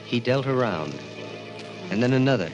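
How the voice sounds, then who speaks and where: A man's voice: medium pitch, expressive, moderate pace, highs cut off, lightly acted. An actor playing an anguished, guilt-ridden young man, narrating and speaking with dramatic intensity in the style of a 1940s radio mystery. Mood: haunted, brooding. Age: young adult. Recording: old radio recording with music behind.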